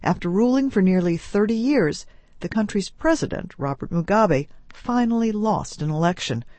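A reporter narrates a scripted news feature. The voice sits at a medium pitch. The sound is dry, with no room around it. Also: lively intonation, moderate pace, darkish tone, no echo.

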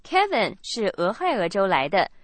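Lively, chatty woman radio host. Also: medium pitch, moderate pace, no room sound. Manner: chatty, explanatory. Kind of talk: scripted radio language lesson.

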